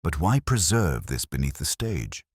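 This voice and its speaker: A man's voice: a bass voice, big pitch swings, measured pace, husky, no echo, studio quality, heavily acted. A man voicing an earnest detective's companion who asks plain questions in a mystery audiobook. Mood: puzzled. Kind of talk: mystery audiobook character dialogue.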